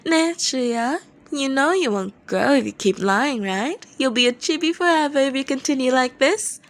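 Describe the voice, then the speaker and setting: A woman's voice: high-pitched, expressive, moderate pace, voice pushed up. A woman playing a playful, teasing young male rock band leader with a breezy, casual manner in an audio story.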